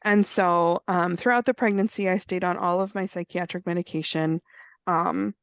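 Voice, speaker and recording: A woman with a cold tells her story in an earnest, halting way, with a gravelly edge to her voice. Her words come through a dull, dry video call line. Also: on the low side, steady tone, no room sound. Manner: earnest, many fillers. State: has a cold, clears throat. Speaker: guest speaker sharing lived experience. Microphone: computer mic on a video call.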